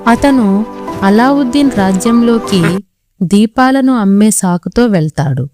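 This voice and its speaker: A woman's voice: medium pitch, dark tone. A woman reading scripted children's story narration as the storyteller.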